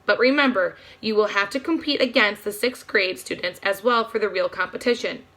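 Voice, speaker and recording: A woman voicing a stern male schoolteacher in a storybook, formal and deliberate. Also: medium pitch, bright, forceful tone.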